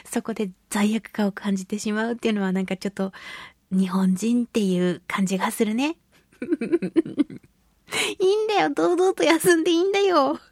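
A woman's voice: a little high, moderate pace, a little mellow, dry recording, clean studio sound. A woman hosting a casual solo radio show, chatting expressively and giggly as she reads and responds to listener mail. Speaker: radio show host, voice actress.

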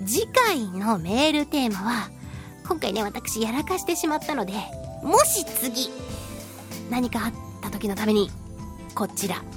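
A woman hosting a solo radio talk show, reading listener mail in a playful, giggly, animated manner. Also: high voice. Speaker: radio host, voice actress.

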